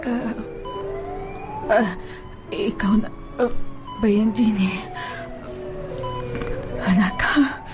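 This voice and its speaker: A frail old mother on her deathbed, acted by a woman, speaks very slowly in weak, broken phrases. She is tender and grateful, in a radio drama with clear music underneath. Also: mid-range voice, steady tone. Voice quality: weak, faltering voice.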